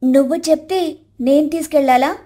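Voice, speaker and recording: A spiteful young daughter-in-law, acted by a woman, with a high voice. She is curt and defiant, a sharp-tongued wife in a cartoon family tale. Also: normal speed, lightly acted.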